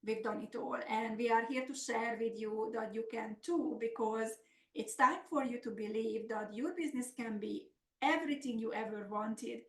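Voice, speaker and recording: A middle-aged woman with a Hungarian accent presents a business coaching webinar, sounding earnest and upbeat as she works through steady lists of points. Her voice sits a little high, and the echoey room carries it. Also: normal speed. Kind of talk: semi-scripted webinar presentation.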